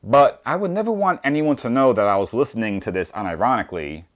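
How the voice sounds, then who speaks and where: A man's voice: mid-range voice, lively intonation, fast talker. A man in his early forties narrating a scripted review with wry, dry humour. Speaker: record reviewer, presenter. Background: music.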